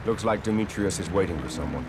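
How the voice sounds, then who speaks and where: A man's voice: low-pitched, animated, quick pace, noisy background. A man playing a cool, understated secret agent reporting from surveillance in a video game. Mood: focused. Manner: matter-of-fact, understated. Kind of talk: scripted game cutscene dialogue. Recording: loud music behind the voice.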